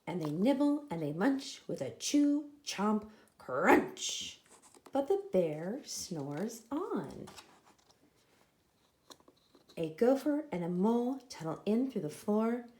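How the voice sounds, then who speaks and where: A woman reading a children's picture book aloud expressively, with deliberate, dramatic emphasis on the sound words. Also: medium pitch, sing-song, a little mellow. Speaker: storybook reader.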